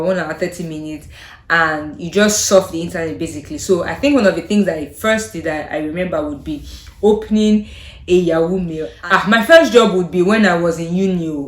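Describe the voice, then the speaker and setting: Young nigerian woman vlogging, kinda low voice, hesitant and trailing off, echoey room. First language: Yoruba. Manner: thoughtful, hesitant, trailing off softly with frequent laughs. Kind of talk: casual chat to camera.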